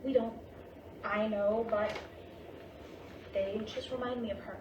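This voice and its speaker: A woman's voice: medium pitch, steady tone, a little slow, dull audio, natural voice. Woman as plaintive middle-aged mother, echoey room. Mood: wistful. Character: Catherine, grieving Japanese American mother in mid-fifties, sentimental, stubborn. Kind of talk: staged reading of a play. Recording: roomy, distant mic, faint noise.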